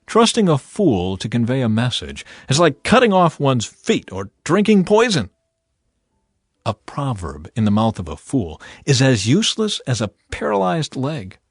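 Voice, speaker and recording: A man reads scripture aloud in a dramatized style, his medium-pitched voice a little rough and husky. The delivery is emphatic and deliberate, moving through a wide range of intonation. Quiet music plays behind him. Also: dry recording, clean studio sound. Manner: theatrical, emphatic, deliberate delivery with expressive swells and drops. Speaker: Bible narrator. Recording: voice over background music.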